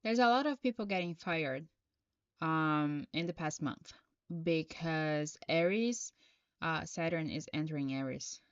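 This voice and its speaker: A woman from Brazil with a Brazilian Portuguese accent, speaking English as a second language as she reads tarot on a live stream. She reads viewers' questions aloud and answers them in an unhurried, halting way. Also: low voice, clear, resonant tone, no room sound, highs cut off.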